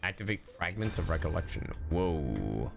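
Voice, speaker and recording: Male VTuber game streamer: lively, wide-ranging delivery, playful exclamations, light music underneath. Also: low voice, normal speed, vocal fry. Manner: playful, theatrical, exclaiming, repeats phrases. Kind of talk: live game stream commentary, reading choices aloud.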